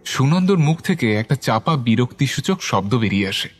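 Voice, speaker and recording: A man's voice: medium pitch, very animated, moderate pace. A man giving scripted, dramatic narration of a horror story.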